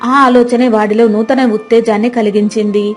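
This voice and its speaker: A woman's voice: a little high, flat delivery, a little fast, a little mellow, soft background music. A woman storyteller reading a scripted moral tale as narrator.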